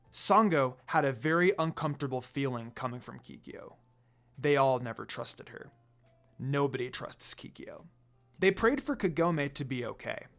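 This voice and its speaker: Male story narrator with very animated pitch. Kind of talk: scripted fan fiction narration, storytelling.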